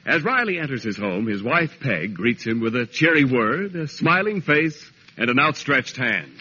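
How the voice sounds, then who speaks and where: A man's voice: mid-range voice, big pitch swings, moderate pace, bright, forceful tone, slightly rough. A man delivering polished, emphatic scripted announcements and narration in the declamatory manner of 1940s radio. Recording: dull old radio broadcast recording.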